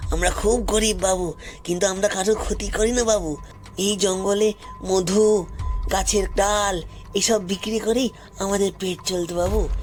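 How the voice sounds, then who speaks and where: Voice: medium pitch, natural voice. A performer voicing a poor, wronged forest tribesman in an audio story, pleading anxiously and repeating phrases insistently, with deference. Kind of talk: dramatised audio story acting.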